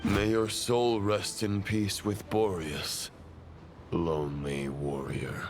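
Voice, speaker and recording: A man playing a cold, solemn supernatural judge of souls in a video game, with grave, formal, measured delivery. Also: lowish voice, fairly even intonation, a little hiss or hum. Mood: grave, resigned. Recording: music behind the voice.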